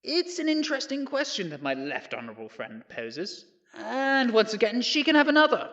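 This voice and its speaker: A smug, bullying male Prime Minister in an audio drama. His voice is high and bright, swooping theatrically as he mocks and condescends. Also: huge pitch swings, normal speed, highs cut off, heavily acted. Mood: condescending.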